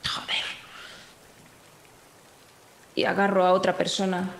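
A woman's voice: fairly low pitch, monotone, brisk, creaky, echoey room, slight background noise. A woman playing a tabletop roleplaying game, casually thinking aloud and hesitating. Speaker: player. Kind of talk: casual tabletop roleplay, describing her character's actions.

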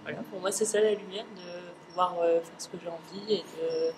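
A young woman with a lowish voice, answering simply and without hurry. She speaks into a handheld mic, with clear noise around her. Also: fairly even intonation, moderate pace. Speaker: interviewee. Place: indoor station waiting area.

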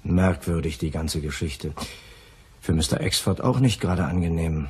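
Male agency chief, radio crime play: paternal, inquisitive, deep and very husky. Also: normal speed.

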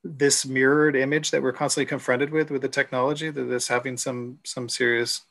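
A middle-aged man moderating a panel on a video call, talking haltingly with many fillers and restarts. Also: mid-range voice, little pitch movement, creaky, a bit bright. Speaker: gallery moderator. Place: room at home. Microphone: video call webcam.

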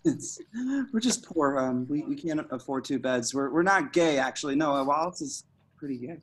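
A man acts out an awkward young slacker, smitten and a little dim. He speaks haltingly at a medium pitch, tripping over his words with nervous earnestness, as in a casual movie script read-through. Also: animated, fairly bright tone, slight background noise, close to an everyday voice. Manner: halting, stammering, hesitant. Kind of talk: amateur screenplay table reading. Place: online group video call.

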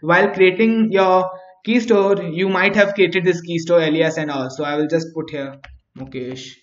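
A man's voice: high voice, fairly even intonation, moderate pace. A man teaching a coding tutorial over his screen, talking through each step and often asking "right?" as he thinks aloud. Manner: frequent restarts and fillers while thinking aloud. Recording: echoey, dull home recording.

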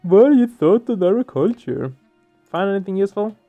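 A man giving casual let's play commentary, halting as he searches for words. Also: sits high, very animated. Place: small room at home, indoors. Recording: dull muffled sound, music behind. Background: game music.

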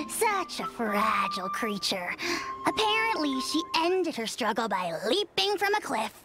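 A woman's voice: high voice, big pitch swings, slow pace, full character voice, poor audio. A woman voicing a haughty little dragon lord in a video game, scornful and theatrical, speaking like royalty. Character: pompous, haughty little dragon lord, sneering self-styled sovereign. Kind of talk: video game character acting. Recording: game music behind.

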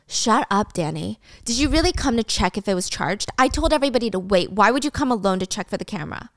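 A woman's voice: medium pitch, expressive, quick pace, no echo, clean studio sound, lightly acted. A woman voicing a stern, sceptical young woman who questions firmly in a dramatic story. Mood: stern, accusing. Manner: firm, interrogating.

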